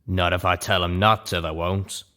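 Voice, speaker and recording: A man voicing a tough, shrewd female mercenary leader from a story, gruff and businesslike. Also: sits low, steady tone, normal speed, pushed and bright.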